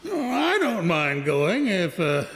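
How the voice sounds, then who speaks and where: A man's voice: high voice, huge pitch swings, slow, a bit bright, heavily acted. A man playing a callous but jovial Victorian businessman, drawling and chuckling, in a radio drama. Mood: amused, callous. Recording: reverberant hall sound.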